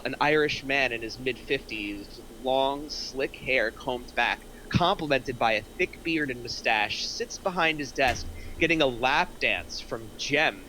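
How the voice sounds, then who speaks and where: A man reads screenplay stage directions as narrator. His voice is medium in pitch and notably bright, with faint noise in the recording. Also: low-quality recording. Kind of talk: scripted narration at a table reading.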